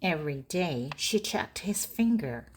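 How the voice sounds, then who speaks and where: Slow, expressive woman reading a fairy tale. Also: deep voice, slight background noise. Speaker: storybook narrator. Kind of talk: slow read-aloud storytelling for language learners.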